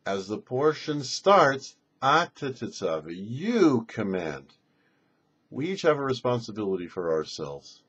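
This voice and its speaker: A man's voice: medium pitch, big pitch swings, measured pace, a bit bright, breathy edge. A man, a rabbi and show host, giving a religious teaching in a didactic way with emphatic phrasing. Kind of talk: religious teaching on a Torah portion. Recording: dull lo-fi recording.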